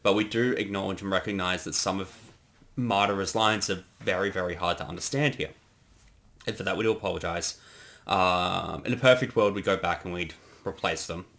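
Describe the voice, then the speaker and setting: Male co-creator on commentary, lowish voice, explains as he goes. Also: fairly even intonation, gravelly, a bit bright. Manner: explanatory, thinking aloud with pauses. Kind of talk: casual commentary chat.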